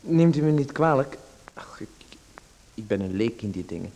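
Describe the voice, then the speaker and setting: A man's voice: mid-range voice, lively intonation, normal speed, warm tone, lightly acted, low-quality recording. An actor playing a polite, hesitant man who stammers while a salesman pushes him. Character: polite, hesitant well-known man being sold to. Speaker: radio drama actor. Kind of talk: radio play acting.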